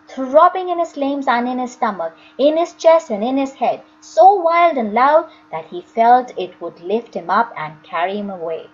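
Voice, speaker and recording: A woman's voice: a little high, lo-fi sound. A woman narrating a children's novel expressively, as an audiobook reader.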